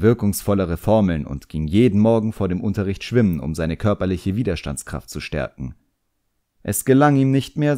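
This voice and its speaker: A man's voice: sits low, warm tone. A man narrating a fantasy novel as a scripted audiobook, in a storytelling style.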